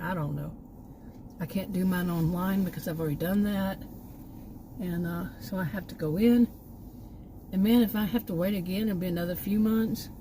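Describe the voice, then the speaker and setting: A woman's voice: mid-range voice, noisy background. A middle-aged woman from Texas chatting casually to her camera outdoors near a park, meandering and repeating words as she trails off. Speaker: vlogger. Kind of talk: casual rambling chat to camera.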